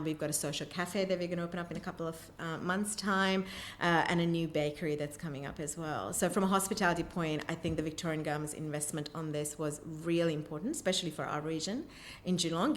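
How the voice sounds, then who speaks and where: A woman answering interview questions about her work in an enthusiastic, emphatic way. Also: fairly low pitch. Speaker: interview guest, vocational college representative. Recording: clear background noise, room ambience.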